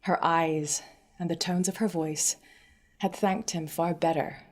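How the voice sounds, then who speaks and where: A woman's voice: lowish voice, steady tone, measured pace. A woman narrator giving a scripted reading of literary fiction.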